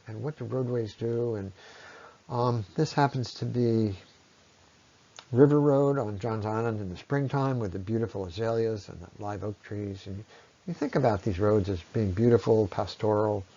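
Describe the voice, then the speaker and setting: A man presenting an explanatory slide talk in a conversational, unscripted way, with halting phrasing and frequent pauses. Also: fairly low pitch, dark tone, dull audio. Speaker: presenter, ecology lecturer.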